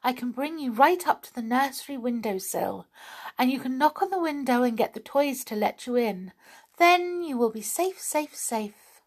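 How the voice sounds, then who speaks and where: A woman voicing a kind, gentle female thrush who talks in a sing-song and repeats her words. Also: high-pitched, expressive, moderate pace, voice pushed up.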